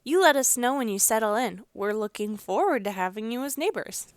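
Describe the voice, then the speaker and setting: A woman's voice: a little high, animated, brisk, dry recording, close to an everyday voice. A woman voicing a cheerful, welcoming mother in a children's storybook, friendly and reassuring.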